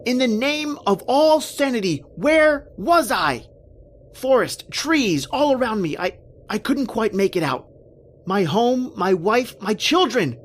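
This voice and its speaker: A woman playing a panicked, bewildered husband lost in a nightmare, with halting, theatrical delivery in an old-style horror radio drama. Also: on the high side, expressive, slight background noise. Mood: desperate, frantic, then bewildered, haunted. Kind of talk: dramatic first-person horror radio narration and acting.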